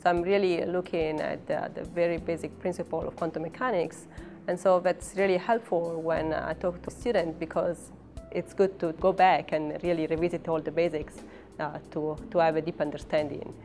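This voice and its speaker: Woman, thirties, Italian accent, low voice, academic interview, frequent hesitant pauses, soft music underneath. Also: little pitch movement. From Italy. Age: 30s. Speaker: professor and research scientist. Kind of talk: interview talk about own work.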